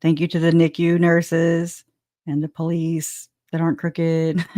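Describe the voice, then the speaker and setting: A woman's voice: deep voice, darkish tone, no echo, clean studio sound. A woman hosting a livestream, chatting casually and rambling, with frequent restarts and laughter. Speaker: livestream host.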